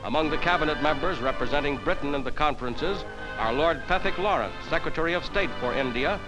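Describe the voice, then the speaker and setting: Male 1940s newsreel narrator, American, higher-pitched, formal and declamatory, over prominent music. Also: fast talker, rough recording. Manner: formal, declamatory announcer delivery. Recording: 1940s newsreel soundtrack, loud music behind.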